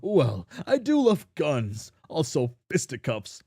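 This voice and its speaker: A man voicing a gruff, foul-mouthed, secretive alien who is easily irritated, speaking bluntly with theatrical muttering in an amateur comic dub. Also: fairly high pitch, huge pitch swings, takes it slowly, warm tone, dry recording, studio quality, heavily acted. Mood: cocky.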